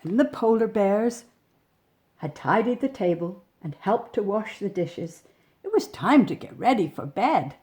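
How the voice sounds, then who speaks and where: Female storybook reader, animated and varied in pitch, slow pace, gentle asides and questions for small children. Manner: gentle, animated, pointing out pictures with questions to the listener. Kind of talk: expressive picture-book reading for young children, with chatty asides.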